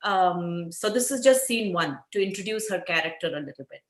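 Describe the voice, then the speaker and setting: A woman's voice: on the low side, expressive, a little fast. A woman playwright casually explaining her own script in an online meeting. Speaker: workshop playwright. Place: indoor room, online meeting. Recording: roomy echoing video call audio.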